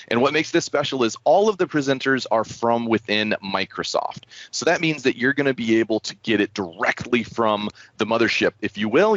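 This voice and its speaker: A man's voice: mid-range voice, a bit bright. A man hosting an online developer community call, presenting in an upbeat, encouraging and enthusiastic way. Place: indoors, online video call. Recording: muffled, roomy online call audio.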